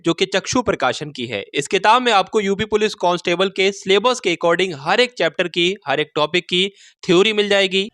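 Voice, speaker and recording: Man, high voice, dry close sound, informal teacher, reassuring, colloquial. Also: fairly bright tone, no echo. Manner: colloquial and reassuring, with repeated check phrases. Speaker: exam coaching teacher. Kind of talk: informal explanatory talk to students.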